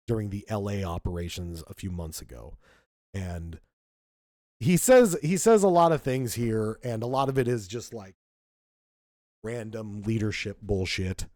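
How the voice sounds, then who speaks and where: A man's voice: on the low side, darkish tone, no echo, studio quality. A man co-hosting a podcast, giving emphatic, indignant political commentary with frequent restarts. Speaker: podcast co-host.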